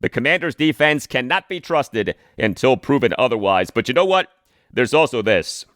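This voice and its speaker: A man hosting a sports podcast, giving scripted commentary and predictions with emphatic, deliberate phrasing. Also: mid-range voice, big pitch swings, a bit bright, no echo, studio quality.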